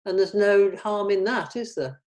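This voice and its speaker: A woman's voice: mid-range voice, measured pace, darkish tone. A woman in casual discussion, polite and tentative, taking part in a script read. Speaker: table read participant. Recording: clear background noise.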